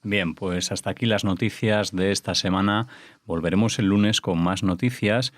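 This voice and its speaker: A man hosting a radio news show, announcing segments in a measured, formal way. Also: fairly low pitch, fairly even intonation, dry recording, clean studio sound.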